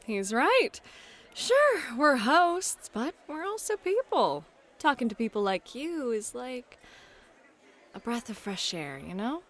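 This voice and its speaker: A woman's voice: fairly high pitch, sing-song, takes it slowly, fairly bright tone, full character voice. A woman playing a friendly, flirty club hostess and game master in an ASMR roleplay, chatty and playful. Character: friendly, flirty club hostess who runs tabletop games. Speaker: host and dungeon master.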